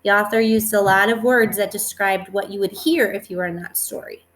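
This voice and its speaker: A woman's voice: mid-range voice, fairly even intonation, brisk, a bit bright. A woman giving a read-aloud lesson to young children as their teacher, instructive, stressing key words and posing questions that she answers herself. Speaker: elementary school teacher.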